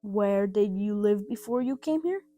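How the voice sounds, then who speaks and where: A woman voicing a cross, grudging baker's wife with a brisk, questioning manner in a storybook reading. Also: medium pitch, expressive, dark tone, dull audio, faint music behind, natural voice. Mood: probing.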